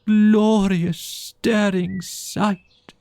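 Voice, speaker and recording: A man voicing a slow, dreamy, besotted toad. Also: high-pitched, little pitch movement, mellow, clear, resonant tone, no echo, pitched above the performer's own voice, full character voice. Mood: rapturous, dreamy. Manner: murmuring, drawn-out, rapturous delivery. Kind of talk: children's storybook character voice.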